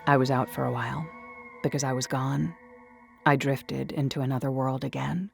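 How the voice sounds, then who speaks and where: A woman reading a first-person horror story in a hushed, dreamy way that slips into whispers. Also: a bass voice, steady tone, normal speed, creaky. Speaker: narrator. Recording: soft music behind.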